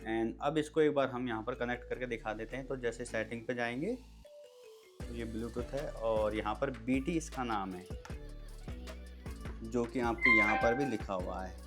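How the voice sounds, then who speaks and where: A man's voice: mid-range voice, moderate pace, fairly bright tone, faint music behind. A man giving a casual, step-by-step product review, addressing viewers as friends. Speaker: product reviewer and video host.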